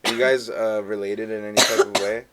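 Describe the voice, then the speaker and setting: A man's voice: on the low side, flat delivery, a little fast, crowd noise. A young man from El Salvador co-hosting a casual podcast, easygoing and jokey. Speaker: podcast co-host. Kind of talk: casual chat. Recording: faint hiss.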